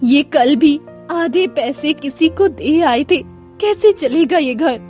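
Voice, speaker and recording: A woman playing a worried, tender poor village wife and mother in a moral storybook tale, plaintive and gently reproachful. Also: high voice, expressive, slow. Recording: music behind the voice.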